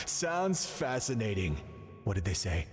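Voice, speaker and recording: A man voicing a jovial, easygoing uncle, a friendly villager in a video game. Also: medium pitch. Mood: cheerful, teasing. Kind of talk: video game voice acting, casual dialogue. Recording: long reverb, faint music behind.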